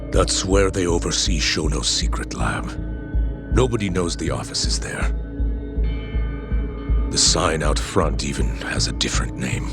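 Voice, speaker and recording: Low-voiced, reluctant male informant. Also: expressive, a little slow, gravelly, long pauses, loud music behind the voice, exaggerated voice. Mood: reluctant, informative. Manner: hesitant, formal. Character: cornered, reluctant male informant, formal and evasive. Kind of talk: video game cutscene dialogue.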